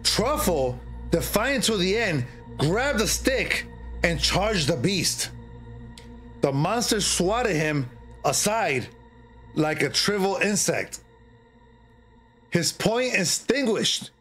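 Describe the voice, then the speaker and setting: Middle-aged male horror storyteller: higher-pitched, theatrical, halting, lively intonation. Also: soft background music. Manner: theatrical, halting delivery with repeated phrases. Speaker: streamer, horror story reader. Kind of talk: dramatic storytelling read aloud.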